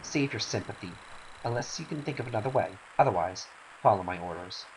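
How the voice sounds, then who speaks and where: A stern, pragmatic woman of a fantasy game, acted out by a man. The delivery is theatrical and firm, on the low side, with lively swings in pitch and a faint hiss behind it. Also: fairly quick, natural voice, poor audio. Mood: brisk, commanding. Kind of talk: dramatic reading of game cutscene dialogue.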